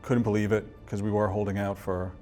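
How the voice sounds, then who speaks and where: Low, even-voiced man, halting. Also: soft background music. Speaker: interview guest. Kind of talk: recounting a personal ordeal.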